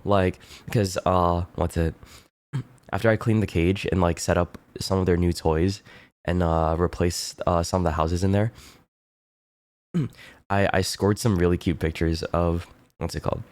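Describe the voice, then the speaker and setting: Low-voiced, stuffy-nosed man chatting. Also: unhurried, long pauses, darkish tone, no echo. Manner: laid-back, rambling, swears casually, keeps clearing throat. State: congested, stuffy nose. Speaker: streamer. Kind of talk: casual chat with viewers.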